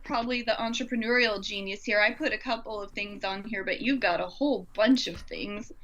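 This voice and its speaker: A woman's voice: mid-range voice, a little fast, a bit bright, lo-fi sound. A woman co-hosting a podcast, chatting casually and effusively in an informal way. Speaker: podcast co-host. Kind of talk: casual conversational podcast chat.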